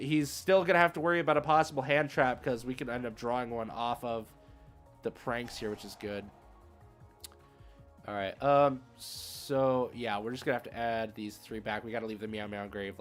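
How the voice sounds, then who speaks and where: Lower-pitched man commentating a card game over music. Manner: chatty, rambling play-by-play with slangy asides. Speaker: streamer playing an online card game. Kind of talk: casual live game commentary, thinking aloud. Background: music playing behind the voice.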